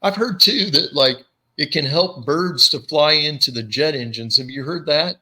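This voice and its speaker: Bright, animated male podcast host. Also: normal speed. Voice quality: low commanding voice. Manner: animated storyteller, acts out voices. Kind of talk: casual storytelling chat.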